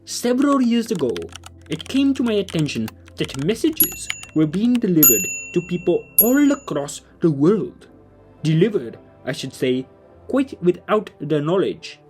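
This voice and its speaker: Man playing a lively, formal, learned gentleman. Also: high voice, a little slow, soft background music. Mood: earnest, explanatory. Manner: formal, wordy, explanatory. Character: kindly, learned, verbose gentleman leading a group of children. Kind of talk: audio drama dialogue and narration.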